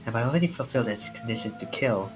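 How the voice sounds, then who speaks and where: A man voicing an anxious, excitable young man in a visual novel, with dramatic exclamations. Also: medium pitch, quick pace, natural voice. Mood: suspicious, alarmed. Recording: game music behind the voice.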